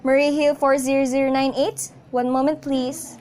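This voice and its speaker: A woman's voice: high voice, little pitch movement, moderate pace, darkish tone, close to an everyday voice. A woman acting in an amateur radio drama as a formal, courteous, unflappable telephone operator with formulaic phrasing. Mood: polite, routine. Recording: faintly noisy amateur recording.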